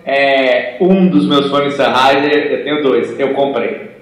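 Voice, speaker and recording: A man's voice: medium pitch, slow. A man co-hosting a podcast in casual, teasing banter. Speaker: podcast co-host. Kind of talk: casual chat. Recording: echoey room.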